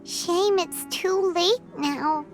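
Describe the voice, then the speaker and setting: Tiny, squeaky, very high fairy. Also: female voice, extremely slow, exaggerated voice. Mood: pensive, sad. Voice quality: squeaky, childlike. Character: tiny childlike female fairy companion, cute and earnest. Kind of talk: video game character acting. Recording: music behind the voice.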